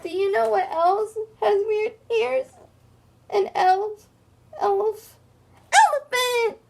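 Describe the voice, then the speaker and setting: Storybook hippo: female, timid, tearful, very high-pitched sobbing wail, drawn-out words. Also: gravelly, full character voice. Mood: wailing, hurt. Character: timid, weepy female hippo who wails when insulted. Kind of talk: children's storybook read-aloud.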